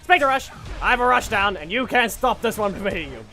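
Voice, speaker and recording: A man's voice: high-pitched, a little fast, faint music behind. A man giving casual live commentary on a fighting game, calling out moves and mocking opponents. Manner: excitable, calls out moves and trash-talks opponents. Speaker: gaming channel host.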